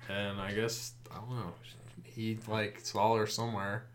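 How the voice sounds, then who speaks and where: A man's voice: on the low side, flat delivery, a little slow. A man from Maryland with a Maryland accent, chatting loosely as a podcast host, rambling with lots of fillers. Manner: laid-back, rambling with fillers. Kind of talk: casual chat.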